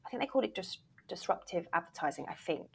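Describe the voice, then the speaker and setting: A woman's voice: on the low side, expressive, fast talker, vocal fry, a bit bright, breathy edge. A woman speaks as a guest expert in a casual interview. She gives practical advice in an encouraging way and leans firmly on her key points. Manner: encouraging, emphatic. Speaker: interview guest, voiceover reel producer and former agent.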